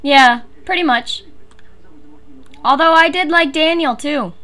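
A woman's voice: sits high, slow, pushed and bright. An American woman hosting a fan podcast, chatting in a giggly, enthusiastic way. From USA. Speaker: podcast host. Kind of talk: casual fan commentary chat.

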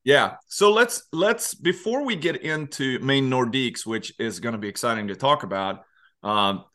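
A male podcast host with a voice of ordinary pitch keeps the conversation moving in a loose, chatty way. He stumbles, restarts and fills gaps with fillers as he sets up his questions over a video call. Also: lively intonation, moderate pace, sharp tone. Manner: chatty and self-correcting, with many fillers. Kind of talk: casual interview hosting.

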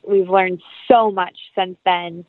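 A woman's voice: on the low side, fairly even intonation, slow, no room sound. Woman on a tinny phone-like line. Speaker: interview guest, actress and producer. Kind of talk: casual conversational interview.

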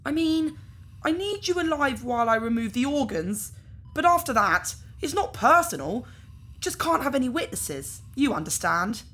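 A woman's voice: high voice, sing-song, moderate pace, a bit bright, breathy edge, full character voice. A woman playing a casual, apologetic organ harvester in a science fiction audio drama, talking in an awkward, nonchalant way. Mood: matter-of-fact, apologetic. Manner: nonchalant, hesitant, politely awkward.

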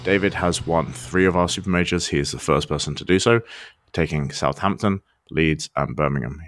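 Deep voiced podcast host guy, really dry sound, chatty and upbeat. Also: fairly bright tone, dry recording. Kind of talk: podcast interview.

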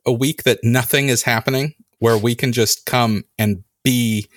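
A man hosting a casual conversation, telling animated stories and acting out the lines people said. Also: mid-range voice, unhurried. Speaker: podcast host.

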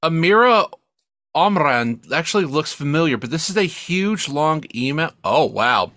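A man's voice: on the high side, sing-song, a little slow, sharp tone, no room sound, studio quality. A man co-hosting a comedy podcast, reading spam emails theatrically and breaking into sweary, casual asides. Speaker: podcast co-host.

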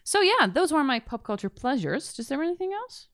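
A woman hosting a podcast, chatting casually and telling animated stories with quoted asides. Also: high voice, a little fast, no echo, studio quality. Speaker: podcast host. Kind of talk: casual chat.

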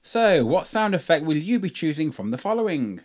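A man's voice: a little high, big pitch swings, moderate pace, noisy background. A man co-hosting a conversational theatre review podcast with a theatrical, presenter-like delivery.

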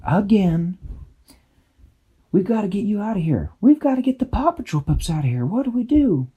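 A man's voice: high voice, animated, normal speed, mellow, slightly rough, voice pushed up, exaggerated voice. A man voicing Dashy, a brave little flying girl who is hurt but determined, earnest and urgent. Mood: worried.

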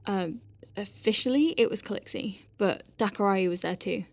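A dry-witted female space-station doctor played by an actress in a sci-fi audio drama, with a medium-pitched voice over clearly audible background ambience. She dictates case notes in a capable, clinical way and slips into wry, conversational asides. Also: moderate pace, slight background noise, lightly acted. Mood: clinical, concerned. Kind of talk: science-fiction audio drama, spoken log.

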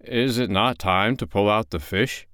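A man's voice: lowish voice, unhurried, a bit bright, clean tone, no room sound, clean studio sound. A man voicing a big, trusting male bear in a bedtime story, speaking plainly and earnestly.

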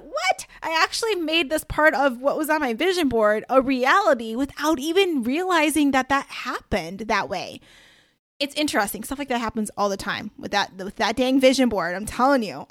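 A woman's voice: fairly high pitch, a bit bright, no room sound, clean studio sound. A woman hosting her podcast alone, chatting casually with lots of asides and slang. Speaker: podcast host. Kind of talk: casual solo podcast talk.

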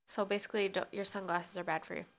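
A woman's voice: mid-range voice, steady tone, fast, fairly bright tone, a little hiss or hum. A young woman co-hosting a podcast, chatting casually with a stuffy, sniffly nose from sneezing, laughing often. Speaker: podcast co-host. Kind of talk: casual chat.

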